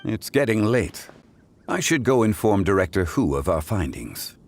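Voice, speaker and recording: A man voicing a calm, dignified, learned figure in a video game, speaking in a measured, formal way. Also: lowish voice, faint music behind. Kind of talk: game voice acting.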